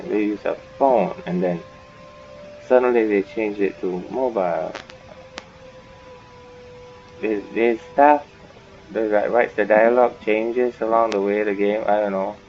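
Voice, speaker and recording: A man giving casual, halting let's play commentary, thinking aloud as he goes. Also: lowish voice, gravelly, highs cut off, a little hiss or hum. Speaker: gaming video host.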